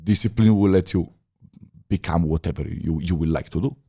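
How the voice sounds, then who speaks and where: Italian guy in his 30s, low mellow voice, chats in broken english with lots of uhs. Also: breathy edge. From Italy. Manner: halting non-native phrasing with many fillers and restarts. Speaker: podcast guest, former chef. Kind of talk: casual interview talk.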